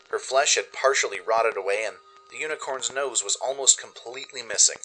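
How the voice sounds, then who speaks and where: Bright-voiced man narrating a radio drama. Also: on the low side, low-quality recording. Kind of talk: dramatic scripted first-person story narration.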